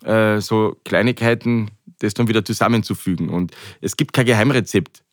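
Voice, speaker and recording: A man's voice: on the low side, normal speed, dry recording, clean studio sound. A middle-aged man with an Austrian accent talking conversationally as an interview guest, often hesitating and repeating words as he searches for phrasing. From Carinthia, Austria.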